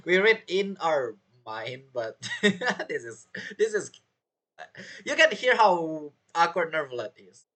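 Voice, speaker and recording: Male gaming commentator: forceful bright tone, animated intonation, casual and amused, with halting phrasing. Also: a little high. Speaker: gaming content creator playing through a story quest. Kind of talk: casual live game commentary. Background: game music.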